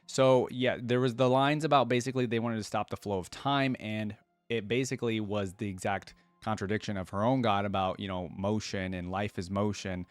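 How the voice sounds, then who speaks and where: A man's voice: fairly low pitch, fairly even intonation, brisk, clear, resonant tone, dry recording. A man giving casual, musing commentary as a reaction video host. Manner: informal, musing, conversational.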